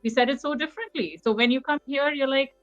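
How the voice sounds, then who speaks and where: Animated woman hosting a panel. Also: high voice, no echo, dull audio, faint music behind. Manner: animated, quick to laugh and chime in. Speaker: panel host. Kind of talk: lively casual panel talk.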